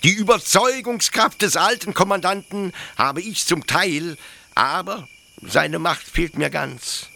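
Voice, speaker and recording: Fervent officer, wildly swinging pitch. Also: male voice, gravelly, exaggerated voice. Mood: urgent, indignant. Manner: theatrical, swinging from hushed confiding to exalted declaiming. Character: fervent, nostalgic male officer devoted to brutal old justice. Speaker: radio play actor. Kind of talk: radio drama monologue.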